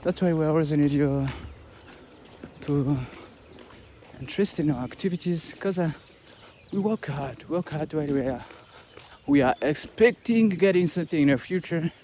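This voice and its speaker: A Rwandan man, a native Kinyarwanda speaker, talking to viewers as a content creator in a casual vlog, halting and pleading with many restarts. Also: a little high, dark tone, a little hiss or hum. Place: outdoors by a road with passing buses.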